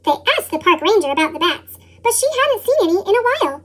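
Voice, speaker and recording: A woman reading a children's storybook in scripted narration, expressively, through a pitched-up chipmunk voice effect. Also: big pitch swings, fast talker, a bit bright. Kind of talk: read-aloud scripted narration.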